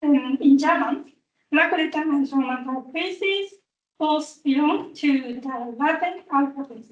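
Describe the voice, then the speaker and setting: High-voiced woman giving a slow, halting talk. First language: Japanese. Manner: careful, halting, with frequent fillers. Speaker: conference presenter. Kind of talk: academic conference talk. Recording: roomy, distant, dull recording.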